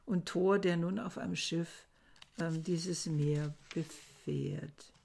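An older woman delivers a lecture from a book-lined study at home. She speaks very slowly in a low, gravelly voice. She often hesitates and searches for words as she explains. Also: steady tone, darkish tone, a little hiss or hum. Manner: slow, thoughtful, with frequent hesitations. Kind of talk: recorded lecture reading and explaining old verses. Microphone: webcam at desk.

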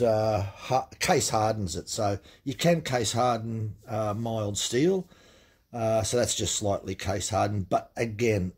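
A middle-aged man talks through a hands-on workshop demonstration, plain-spoken and practical, with plenty of fillers. His voice is husky and mellow. He is picked up by the camera filming his hands at the bench. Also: lowish voice. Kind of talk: casual hands-on tool demonstration. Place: indoor workshop at a cluttered workbench. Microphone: camera mic filming his hands up close.

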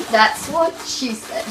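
A woman's voice: sits high, recorded from a distance. A young woman hosting a playful, chatty cooking demonstration in a goofy, teasing, giggly manner. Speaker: vlogger hosting a cooking video. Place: kitchen. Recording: echoey, noisy room, off mic.

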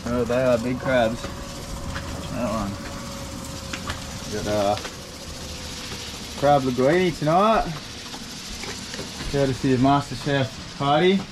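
A man in his thirties with an Australian accent, chatting casually while crabbing from a small boat. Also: medium pitch. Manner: laid-back, laughing, unhurried. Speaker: sailing vlogger. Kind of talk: casual vlog narration. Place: small dinghy on open water. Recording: loud engine and wind noise.

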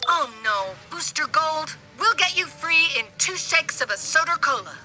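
A woman acting as a brisk, quippy heroine and tech expert in a video game, wry and urgent. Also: high-pitched, lively intonation, sharp tone, faint music behind, heavily acted.

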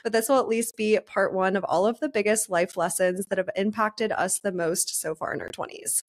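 A woman in her mid-twenties co-hosting a podcast, chatting casually in an upbeat, quick way. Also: mid-range voice. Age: 20s. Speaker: podcast co-host. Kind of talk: casual chat. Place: Pittsburgh.

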